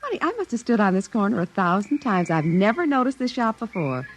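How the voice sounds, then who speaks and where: A woman playing a gentle, polite mother in a 1950s radio drama, with an expressive motherly manner. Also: medium pitch, fairly quick, lightly acted. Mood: fond. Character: gentle, polite mother and army colonel's wife. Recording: old 1950s radio recording, music behind.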